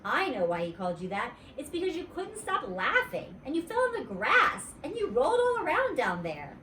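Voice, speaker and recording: Bubbly storybook schoolgirl, acted by a woman: high squealy pitch, boastful, nosy, echoey room. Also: moderate pace, heavy background noise. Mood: matter-of-fact, teasing. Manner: squealy, childish, excitable. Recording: roomy echo, distant mic.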